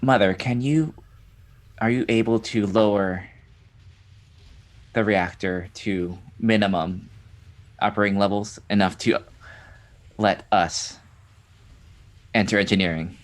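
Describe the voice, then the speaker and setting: An insistent spaceship company officer, played by a man in a tabletop roleplaying game. The voice sits a little low, and the delivery is firm and deliberate, as of someone used to making demands. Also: steady tone. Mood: businesslike, questioning.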